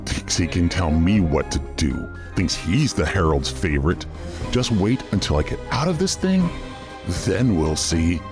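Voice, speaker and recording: A man's voice: deep voice, sing-song, a little slow. A man playing an irritable, resentful deckhand who mutters and chafes at orders in a fantasy audio drama. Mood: resentful muttering. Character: grumbling, resentful male deckhand, chafing at orders. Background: music.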